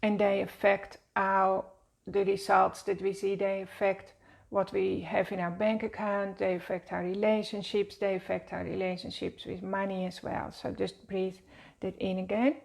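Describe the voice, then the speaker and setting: A woman with a Dutch accent guiding a meditation lesson in a slow, gentle, soothing way, seated and speaking to camera. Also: medium pitch, little pitch movement, creaky. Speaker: wellness teacher. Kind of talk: guided meditation teaching. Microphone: seated at a desk, speaking to camera.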